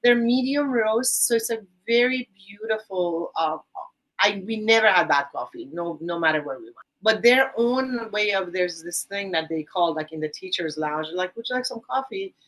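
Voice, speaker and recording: A woman's voice: mid-range voice, animated, moderate pace, fairly bright tone, low-quality recording. A woman from Iran with a Persian accent, a guest on a podcast interview, talking enthusiastically about volunteer teaching, with a rambling, restarting manner.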